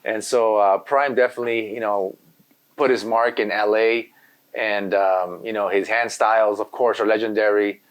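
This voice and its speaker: A man's voice: lowish voice, fairly even intonation, moderate pace. A man from Los Angeles whose first language is Spanish, a graffiti artist guest chatting casually in an interview, rambling with lots of "you know.". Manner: laid-back, rambling, full of "you know".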